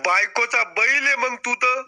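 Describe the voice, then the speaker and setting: A man voicing a cunning, troublemaking old village grandfather in a cartoon, talking in a blunt, rustic, boastful way. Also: a little slow. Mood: scheming. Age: older. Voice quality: high squeaky cartoon voice. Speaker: game show contestant. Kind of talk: cartoon comedy acting.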